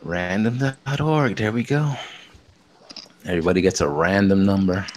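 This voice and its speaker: A man's voice: deep voice, normal speed. A man co-hosting a casual podcast, upbeat as he runs a prize draw. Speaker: podcast co-host running the giveaway.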